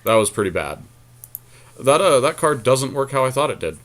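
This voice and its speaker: A man's voice: mid-range voice, sing-song, normal speed, a bit bright. A man co-hosting a podcast, giving casual, bantering commentary as he plays a card game with a partner, using gamer slang.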